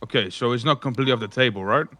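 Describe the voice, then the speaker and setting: A man's voice: mid-range voice, rapid-fire, pushed and bright, no room sound. A man playing a cocky crime boss in an improvised roleplay argument, often repeating his words and phrases. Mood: cocky, pressing. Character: cocky male crime boss bargaining from prison.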